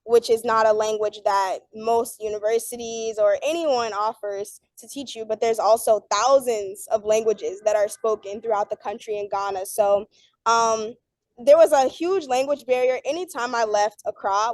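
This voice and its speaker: A woman's voice: on the high side, fairly even intonation, normal speed, a little mellow, dry recording. A young woman student telling a panel about her time abroad in a friendly, chatty way. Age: young adult. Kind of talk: panel discussion.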